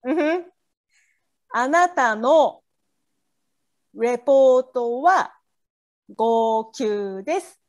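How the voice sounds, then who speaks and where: Very high female voice, Japanese accent, slow delivery, encouraging tutor, casual phrase lesson. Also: lively intonation. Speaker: guest language tutor.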